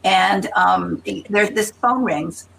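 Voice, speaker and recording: Older woman with a gravelly, creaky voice. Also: medium pitch, takes it slowly, slight background noise, low-quality recording. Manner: lively storyteller, laughs and restarts often. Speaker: interview guest. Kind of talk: casual anecdotal storytelling.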